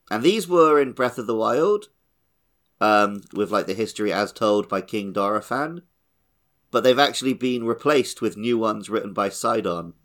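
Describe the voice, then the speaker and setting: Low-voiced man chatting about games. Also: a bit bright. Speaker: podcast co-host. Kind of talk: casual video game discussion.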